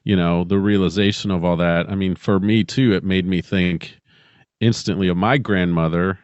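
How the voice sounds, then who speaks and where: A man's voice: low-pitched, steady tone, moderate pace, clear, resonant tone, no echo. A middle-aged man chatting reflectively as a podcast co-host. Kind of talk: casual reflective chat. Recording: dry, slightly dull close recording.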